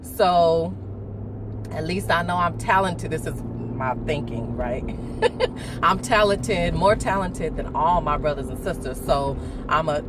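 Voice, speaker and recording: A woman chatting casually on a morning vlog, rambling and laughing as she reflects, her voice deeper before coffee. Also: noisy background. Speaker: vlogger. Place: indoors, talking to her phone. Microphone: phone.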